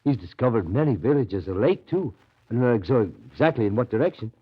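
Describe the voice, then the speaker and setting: An actor playing a ragged, awestruck Russian devotee in a 1930s radio drama, with a hesitant, reverent, confiding way of talking. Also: male voice, medium pitch, expressive, fast talker, breathy edge. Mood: confiding, admiring. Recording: muffled 1930s radio recording.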